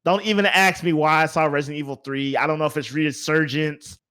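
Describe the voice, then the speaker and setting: Higher-pitched man hosting a casual chat. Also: fairly even intonation, brisk, bright, forceful tone, clear, resonant tone. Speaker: podcast host. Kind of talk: casual chat about movies.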